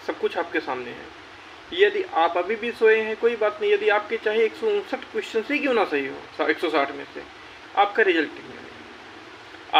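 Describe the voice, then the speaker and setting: A young man delivers a rallying address to job candidates, urgent and insistent, repeating his points for weight. His voice sits a little high. The sound is dull and lo-fi with a faint hiss. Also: a bit bright. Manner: urgent, exhorting, repeats phrases for emphasis. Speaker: YouTube channel host.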